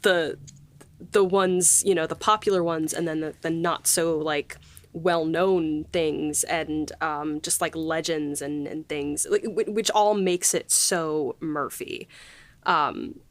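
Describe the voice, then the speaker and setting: A woman hosting a casual behind-the-scenes chat, gushing in a halting, conversational way. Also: low-pitched, steady tone. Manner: gushing, halting, peppered with 'like'. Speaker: podcast host and series writer.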